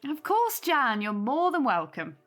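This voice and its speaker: An actress playing a young single mother in an audio drama, warm, sincere and chatty as she hosts her neighbours. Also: sits high, huge pitch swings, a little slow, soft background music, heavily acted. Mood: cheerful, welcoming.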